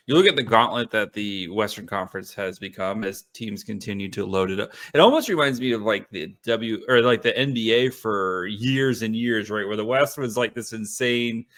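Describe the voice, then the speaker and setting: A man's voice: mid-range voice, normal speed, pushed and bright. A man co-hosting a sports podcast, chatting through his analysis in a loose, rambling, informal way. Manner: rambling, loose, peppered with "like" and "right".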